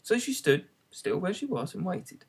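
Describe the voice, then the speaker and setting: A man reading a children's classic aloud in an expressive storybook narration style. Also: high voice, normal speed, slightly rough. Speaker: audiobook reader.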